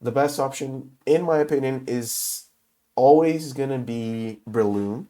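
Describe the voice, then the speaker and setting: A man who is sick with a cold, talking through a casual game tutorial in English, his second language, with many pauses and filler sounds. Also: mid-range voice, dark tone. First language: not English. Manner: halting, many filler uhs. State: has a cold. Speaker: gaming video host.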